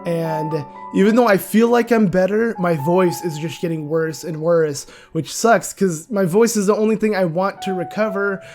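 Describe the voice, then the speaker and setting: A young man with a sick, raspy voice that is nearly gone talks casually through a gaming discussion. His pitch sits a little high for a man. He rambles, with plenty of fillers and self-corrections, and soft music plays faintly behind him. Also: normal speed, few pauses. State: hoarse, voice nearly gone from illness. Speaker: video game channel host.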